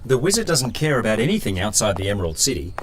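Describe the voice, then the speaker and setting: Low, deadpan tin man. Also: fast talker, a little hiss or hum. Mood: bitter. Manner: deadpan, sardonic. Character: dry, grumbling, cynical heartless tin woodman. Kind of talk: audio drama acting.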